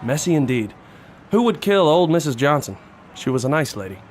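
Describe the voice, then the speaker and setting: A man playing a hard-boiled, world-weary police detective in a noir radio drama, terse and deadpan. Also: a little high, a little fast, a little hiss or hum. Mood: grim, deadpan.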